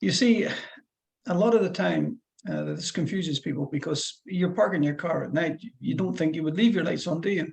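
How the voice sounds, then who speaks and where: A man's voice: fairly high pitch, normal speed, vocal fry, a little mellow, rough recording. A middle-aged man with a Fife Scottish accent, a driving instructor explaining things in a chatty, rambling way with many restarts. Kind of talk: one-to-one lesson over a call.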